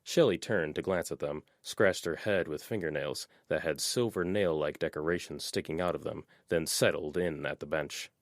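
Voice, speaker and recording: A man narrating a fan audiobook chapter in an expressive, measured reading style. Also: low voice, no room sound, clean studio sound. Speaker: audiobook reader.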